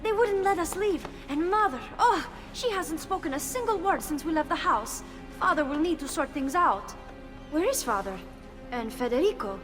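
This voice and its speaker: Anxious young Italian sister, high voice, emotional, game drama, long reverb. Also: female voice, animated, moderate pace, music in the background. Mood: distressed. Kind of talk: video game drama acting.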